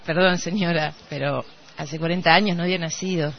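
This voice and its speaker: A woman's voice: on the low side, steady tone, fairly quick, creaky, a little hiss or hum. A woman acting a vain, courteous, slightly bumbling male singer in an Argentine radio play. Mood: gallant. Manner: courteous, hesitant, self-important. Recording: dull lo-fi radio recording.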